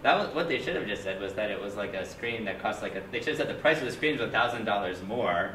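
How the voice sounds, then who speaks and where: Low voiced guy running the meetup chat, distant, echoey, noisy room. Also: animated, fast. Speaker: meetup moderator. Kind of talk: casual group discussion. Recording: roomy, from far off, audible noise.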